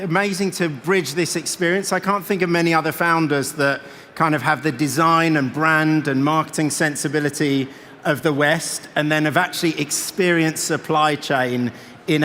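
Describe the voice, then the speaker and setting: A man interviews a guest on stage in a conversational way. His voice sits slightly high, with long hall reverb and a faint bed of noise. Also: fairly bright tone. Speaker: venture investor moderating a fireside chat. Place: event stage in a large venue.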